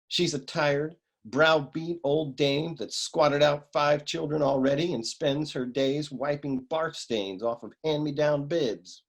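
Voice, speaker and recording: A man playing a cynical, down-on-his-luck private eye in a humorous noir radio play, world-weary and grumbling, with a husky voice. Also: on the high side, slow, hardly any pauses. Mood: deadpan grumbling.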